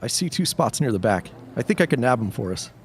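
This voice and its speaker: An actor playing a friendly, earnest, folksy high school boy in a period audio drama. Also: very animated, fast, noisy background. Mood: eager. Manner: eager, folksy.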